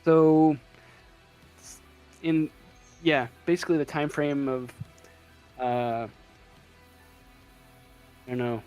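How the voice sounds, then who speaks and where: A man narrating a tabletop role-playing game as game master, describing scenes in halting pieces. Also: a little high, darkish tone, muffled recording. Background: music in the background.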